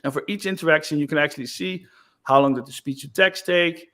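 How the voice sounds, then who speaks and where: A man giving a casual screen-share demo of software, loose and chatty with frequent fillers. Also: on the high side, fast. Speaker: software developer presenting.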